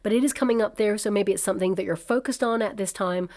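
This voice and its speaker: A woman doing a casual tarot reading at a table, chatty and reassuring with many fillers, her voice slightly croaky from a coming cold. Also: medium pitch, fast, darkish tone. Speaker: tarot reader. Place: indoors at a table covered with cards and crystals.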